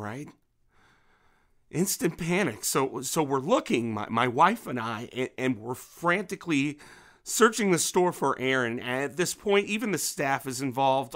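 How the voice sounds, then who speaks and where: A man acting in an audio drama as a menacing, grieving middle-aged father protecting his daughter, speaking with halting intensity. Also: medium pitch, fairly bright tone. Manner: intense, halting, with repeated words.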